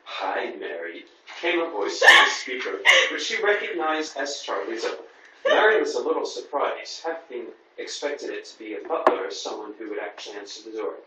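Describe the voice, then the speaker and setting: A man reads prose dramatically, his voice swinging widely in pitch. He breaks off for casual banter with the group. The room is very echoey, with clear noise behind him and the microphone some way off. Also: big pitch swings, normal speed. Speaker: reader at a writers' group reading. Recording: very echoey, noisy room, distant mic.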